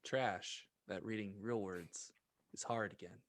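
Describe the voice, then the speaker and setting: A man's voice: on the low side, steady tone, a little slow, no room sound, clean studio sound. A man co-hosting a podcast with casual, teasing banter. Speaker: podcast co-host.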